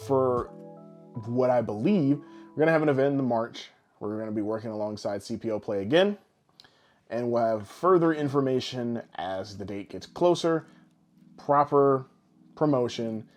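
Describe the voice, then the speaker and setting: A man's voice: medium pitch, expressive, normal speed, darkish tone, faint music behind. A man co-hosting a podcast with casual, jokey, rambling showman patter. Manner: boisterous, rambling, tongue-in-cheek showman patter. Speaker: podcast co-host.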